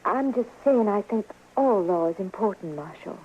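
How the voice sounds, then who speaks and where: A woman plays a proper, earnest schoolteacher of the Old West. Her American voice sits at a middle pitch, on a muffled radio drama recording with faint noise. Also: expressive, moderate pace, natural voice. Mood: principled. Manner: proper, careful diction, earnest. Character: earnest, principled frontier schoolteacher, brave but worried. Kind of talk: old-time radio western acting.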